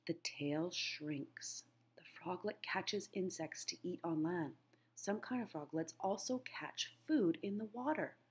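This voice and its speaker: A middle-aged woman reads a children's nature book aloud as a teacher would. Her voice is a little low and husky, and she takes it very slowly, in simple, deliberate phrases meant for young listeners.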